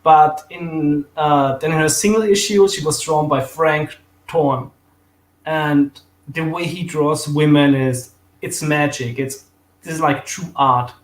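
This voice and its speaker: A man with an Austrian accent chats eagerly about comics, his voice sitting a little high. He loses his place now and then, starts over and laughs easily. The room around him gives a roomy echo. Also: steady tone, slow pace. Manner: enthusiastic, fillers and restarts, laughs easily. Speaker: guest. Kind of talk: casual chat about comics.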